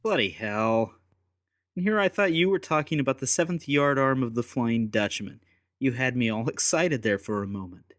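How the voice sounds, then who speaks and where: A man's voice: medium pitch, animated, moderate pace, fairly bright tone, no room sound, studio quality, lightly acted. A man playing a sardonic, unflappable English secret agent, teasing and theatrical, in an audiobook drama. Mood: sardonic, defiant. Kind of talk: full-cast audiobook acting.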